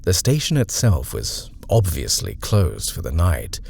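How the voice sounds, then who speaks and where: A man narrating a horror short story in a measured, expressive reading. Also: deep voice, vocal fry, slightly rough.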